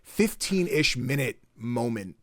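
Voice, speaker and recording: A young man co-hosting a casual podcast, talking excitedly, swearing often and acting out game dialogue in higher voices. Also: slow, no room sound, studio quality. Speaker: podcast co-host.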